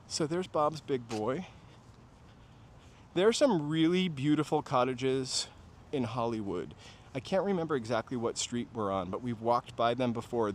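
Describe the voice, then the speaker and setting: A man talks in a mid-range voice. He rambles casually, like a tour host chatting to live viewers as he walks. Light urban street noise and vehicles sit behind him outdoors. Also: mid-range voice. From New Hampshire, USA. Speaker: walking-tour livestream host. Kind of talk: casual chat while walking. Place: outdoors on city streets, walking. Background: traffic and urban ambience.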